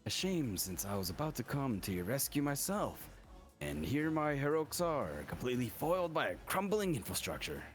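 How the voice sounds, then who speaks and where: A courteous, self-assured game rescuer as a man reads him. He teases with wry ease and swings widely in pitch, over faint music. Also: sing-song, normal speed, close to an everyday voice. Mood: teasing. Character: Jack Weiser, confident, teasing, courteous male rescuer. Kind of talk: visual novel dialogue reading.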